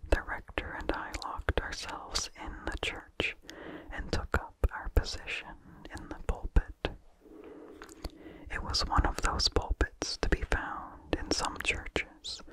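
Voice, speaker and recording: Slow whispered ASMR story narrator. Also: poor audio. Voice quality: breathy unvoiced whisper with incidental mouth sounds. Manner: slow, deliberate, hushed delivery. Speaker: narrator reading a story aloud. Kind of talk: whispered ASMR reading of a vintage horror story.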